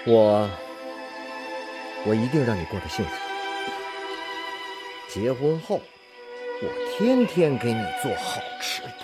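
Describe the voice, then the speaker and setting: A man's voice: medium pitch, big pitch swings, takes it slowly, long pauses, over loud music. A man acting a young, eager and slightly bashful steel-mill technician in a Chinese radio drama, speaking with sincere devotion. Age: young adult.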